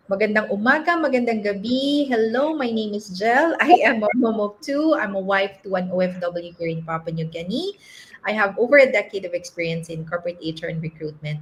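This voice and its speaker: A woman's voice: medium pitch, animated, moderate pace, low-quality recording. A woman with a Filipino accent introducing herself as a panelist on a livestream. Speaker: HR professional, panelist. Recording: clear background noise.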